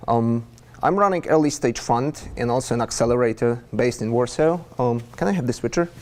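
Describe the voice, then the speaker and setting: A man from Poland, mid-pitched, opens his talk at a speaker series. He speaks in an easy, relaxed way with a few light jokes and the odd filler. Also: fairly quick. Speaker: startup investor. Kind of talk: conference presentation.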